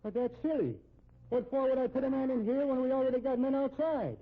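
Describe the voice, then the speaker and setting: An actor playing a chatty, assured police officer in a 1950s radio drama. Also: male voice, very high voice, steady tone, brisk. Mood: folksy, unworried. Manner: folksy, talkative, assured. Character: chatty, unworried Irish-American male police officer. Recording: muffled, tinny old radio recording.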